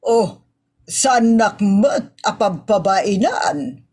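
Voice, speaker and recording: A woman's voice: mid-range voice, very slow, creaky, a little mellow, slightly rough, exaggerated voice. A woman with an Ilocano Filipino accent playing a bossy, teasing wife in a comedy audio drama. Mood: teasing, indignant.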